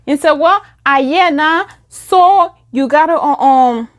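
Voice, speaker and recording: Young Liberian-accented woman vlogger, casual storytime, fairly high voice, animated delivery. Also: takes it slowly. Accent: Liberian English. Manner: animated, acts out quoted lines in a higher voice. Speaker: beauty vlogger. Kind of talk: casual storytime while doing makeup.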